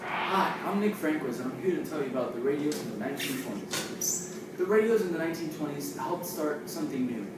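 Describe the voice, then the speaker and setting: A man presenting an amateur history report in a halting way, stumbling over words. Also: medium pitch. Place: echoey room, far from the microphone. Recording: echoey, distant, very noisy room recording.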